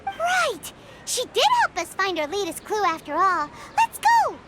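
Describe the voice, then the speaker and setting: A woman voicing a tiny, excitable childlike fairy companion in a video game, with a squeaky voice. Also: a little slow, heavy background noise, heavily acted. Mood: chirpy. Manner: excitable, speaks of herself in third person. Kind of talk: video game cutscene acting.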